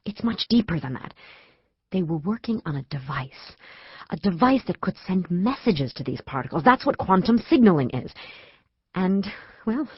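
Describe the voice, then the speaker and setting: An actress playing an anxious, educated astrophysicist worried about her husband, earnest and emotional in an audio drama, with halting speech. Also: fairly low pitch, big pitch swings, breathy edge, dry recording, muffled recording. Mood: intent, explaining. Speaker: actor in a radio play.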